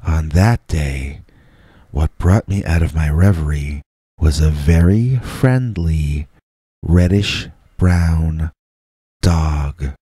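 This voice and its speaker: A man narrating first-person scary stories from a script in a deliberate, measured way with dramatic pauses. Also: a bass voice, dark tone, husky, dry recording, clean studio sound. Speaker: storyteller, host reading listener stories as a Bigfoot persona.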